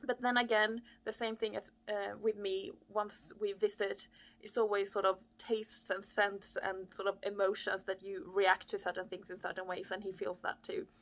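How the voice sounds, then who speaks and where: A woman's voice: medium pitch, flat delivery, normal speed, a bit bright. A woman in her thirties from Chile, talking about her life in a reflective way, with many fillers, in a living room. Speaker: vlogger. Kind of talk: casual personal talk to camera. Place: living room with fireplace, indoors. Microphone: camera on a desk at close range.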